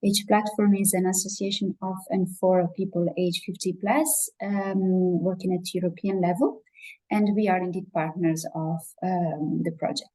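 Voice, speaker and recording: Mellow woman. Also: on the low side, fairly even intonation, normal speed, rough recording. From Italy. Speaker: association staff. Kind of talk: webinar self-introduction. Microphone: video call.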